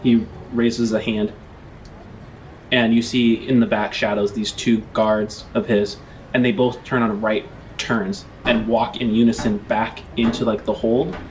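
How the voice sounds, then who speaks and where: A man's voice: lowish voice, little pitch movement, measured pace, slight background noise, rough recording. A man running a tabletop game as game master, improvising descriptive narration in a conversational way. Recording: music playing under the voice.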